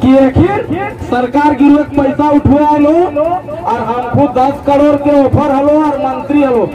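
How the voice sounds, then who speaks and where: A young man with a Jharkhand regional Hindi accent gives a fiery political rally speech into a handheld microphone outdoors under a tent. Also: very high voice. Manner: fiery, chant-leading, rhetorical. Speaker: rally speaker. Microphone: handheld microphone over loudspeakers, filmed by phone. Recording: distant, muffled PA sound with loud crowd.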